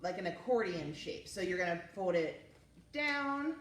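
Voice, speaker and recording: A woman's voice: lowish voice, animated, unhurried. A woman hosting a children's story time and craft session, speaking casually and encouragingly as she talks young children through each step. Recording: roomy, distant mic with faint noise.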